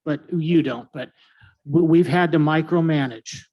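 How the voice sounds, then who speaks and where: Council member, male, fairly high voice, frank, loose delivery. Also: a little slow, lo-fi sound. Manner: candid, rambling with fillers. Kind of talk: council discussion.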